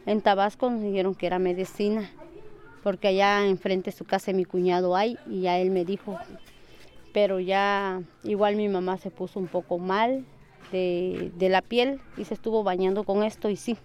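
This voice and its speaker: A woman's voice: medium pitch, fairly even intonation, normal speed, a little mellow, clean tone. A woman, casually explaining things as an interviewee.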